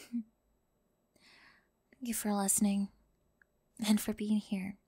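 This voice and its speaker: A woman's voice: medium pitch, level tone, slow, mellow, breathy edge. A woman playing an earnest, nervous young performer in an audio drama, speaking in a hesitant, tender way. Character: earnest, anxious young woman, first-time stage performer. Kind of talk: audio roleplay drama acting.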